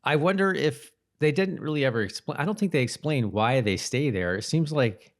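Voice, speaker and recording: A man's voice: medium pitch, expressive, moderate pace. A man co-hosting a podcast, giving casual, jokey commentary on a TV show. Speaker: podcast co-host.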